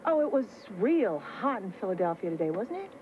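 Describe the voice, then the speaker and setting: A woman's voice: mid-range voice, very animated, brisk, phone-quality sound, lightly acted. An actress as a flustered, chatty woman traveler. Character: nervous woman traveler bluffing about her job. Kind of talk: 1980s TV movie romantic comedy. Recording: thin TV audio with faint hum.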